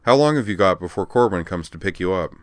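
A man voicing a middle-aged father and ship captain in an audiobook, easygoing and faintly amused, turning brisk when giving orders. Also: sits low, lively intonation, dry recording. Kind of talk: audiobook character voice.

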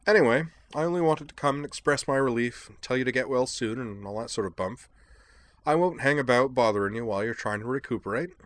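A man's voice: mid-range voice, fast, dry recording, pitched above the performer's own voice, lightly acted. A man voicing a chipper, eccentric young British man full of upper-class banter in a storybook reading. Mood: jaunty.

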